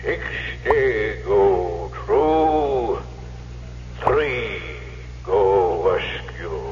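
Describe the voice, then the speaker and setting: Slow, echoing, sinister devil. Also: male voice, fairly low pitch, expressive, darkish tone, highs cut off, soft background music. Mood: ominous. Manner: slow, theatrical, taunting pronouncements. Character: sly, menacing one-legged devil of the midnight crossroads. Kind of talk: old-time radio horror acting. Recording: echoing, noisy old radio recording with hum.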